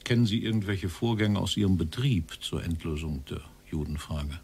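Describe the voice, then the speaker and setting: An actor playing a stern, probing post-war interrogator asking direct questions in a radio play. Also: male voice, deep voice, moderate pace, creaky, darkish tone, breathy edge, heavily acted.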